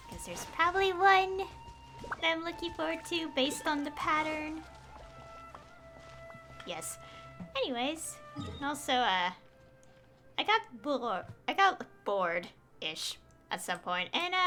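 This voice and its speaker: Young woman, casual game livestream, hesitant and rambling, lively pitch, slow pace. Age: young adult. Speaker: game streamer.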